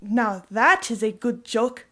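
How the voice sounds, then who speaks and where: A woman playing a sharp-tongued, theatrical grandmother in an audio drama. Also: a little high, expressive, takes it slowly, full character voice. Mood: indignant.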